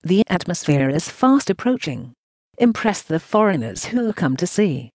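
Brief: synthetic news narration voice, choppy delivery, reads punctuation aloud, animated pitch, dry close recording. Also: a little slow, no echo. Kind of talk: synthetic voice reading translated news text.

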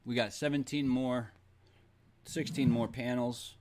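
Man, thirties, streamer, slow-paced, muttering, casual, home desk mic. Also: medium pitch, slight background noise. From Boston. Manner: muttering to himself while playing, relaxed. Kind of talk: casual game-stream chat. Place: small room at home.

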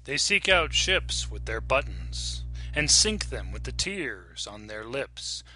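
A man's voice: mid-range voice, big pitch swings, slow pace, pushed and bright, rough recording. A man reading scripted fiction aloud as a dramatic narrator. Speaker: story reader and narrator.

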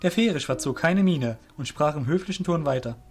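A man narrating a fantasy audio drama from a script in a deliberate, descriptive manner. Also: on the high side, lively intonation, darkish tone, faint music behind.

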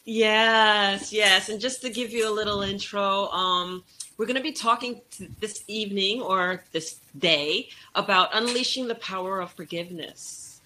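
A woman's voice: medium pitch, unhurried, pushed and bright, a little hiss or hum. A middle-aged woman hosting a conversational interview over a video call in a welcoming, chatty way. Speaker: host. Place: indoor room, video call.

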